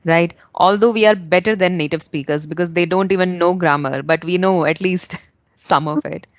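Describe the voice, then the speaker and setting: An Indian woman with a slightly low, steady voice hosts an English practice conversation. She speaks earnestly and encouragingly in a second language. The recording is dry and close. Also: normal speed, no echo. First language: not English. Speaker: host.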